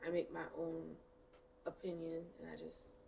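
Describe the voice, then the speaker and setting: A woman with a low, gravelly voice speaks openly among friends and chimes in often with short agreements. She sits a bit away from the mic, with a faint hiss in the background. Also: monotone, crowd noise. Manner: quick affirming interjections, then open and candid. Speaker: podcast co-host. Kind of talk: casual chat among friends. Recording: mic at a distance, faint noise.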